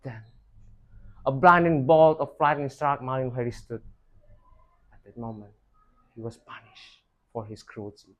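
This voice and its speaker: A man's voice: medium pitch, unhurried, pauses often, a bit bright. Young man telling a folktale. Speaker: student storyteller. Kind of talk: dramatic rehearsed folktale storytelling.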